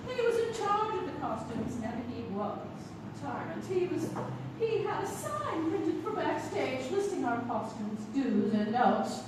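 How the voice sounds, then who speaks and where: A woman's voice: high-pitched, sing-song, unhurried. A woman playing a veteran stage actress, an amused and theatrical storyteller reminiscing in an interview. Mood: fond, gossipy. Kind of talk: 1960s interview reminiscence, live radio-theatre acting. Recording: echoey, noisy stage recording from far off.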